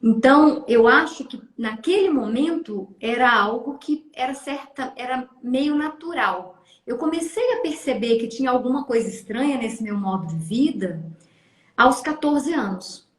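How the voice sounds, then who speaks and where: A Brazilian woman in her fifties, a therapist telling her life story in an interview, lively and expressive, often tagging phrases with a questioning aside. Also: high voice, measured pace. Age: 50s. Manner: animated, acts out quoted voices, frequent "né?". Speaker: guest, family constellation therapist. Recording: echoey room.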